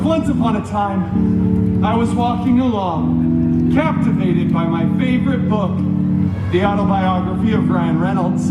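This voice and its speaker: A man's voice: sits high, distant mic. A man playing a campy, self-absorbed wisecracking antihero, telling stories theatrically with sarcastic asides in a live comic stage show. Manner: theatrical, mock storybook delivery with sarcastic asides. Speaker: costumed theme park stage performer. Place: outdoor theme park stage, front-row recording. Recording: echoey outdoor stage, loud music, crowd.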